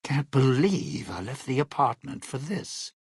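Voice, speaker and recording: Casting: a world-weary, sardonic showman in a video game; husky male voice, dry close sound, old-time slang and sly patter. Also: mid-range voice, sing-song, a little slow, no echo, heavily acted. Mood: weary, wry. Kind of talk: video game companion voice lines.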